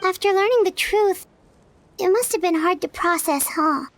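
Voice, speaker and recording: Game casting: tiny curious fairy girl, extremely high and squeaky. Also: moderate pace, a little hiss or hum. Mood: curious, sympathetic. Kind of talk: video game voice acting.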